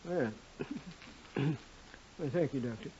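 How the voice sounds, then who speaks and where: An actor playing a gruff, laconic janitor with a folksy, deadpan manner in an old-time radio drama. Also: male voice, mid-range voice, slow. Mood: laconic, awkward. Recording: muffled, noisy old radio recording with clicks.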